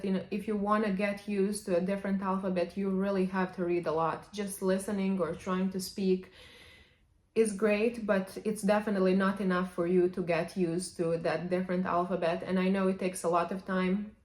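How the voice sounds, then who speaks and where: Woman giving language tips. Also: mid-range voice. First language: Serbian. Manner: chatty, opens many sentences with "however". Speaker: language vlogger. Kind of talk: casual advice to camera. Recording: echoey room.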